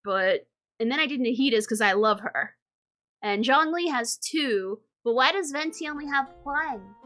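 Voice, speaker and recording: A woman's voice: high-pitched, animated, moderate pace, a bit bright. A woman live-streaming a game with casual, slangy, reactive commentary and offhand swearing.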